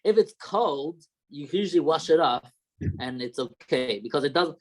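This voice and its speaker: A man's voice: fairly high pitch, animated, normal speed, poor audio. A young man with a light Australian accent, a rabbi teaching an informal class and explaining step by step with questions.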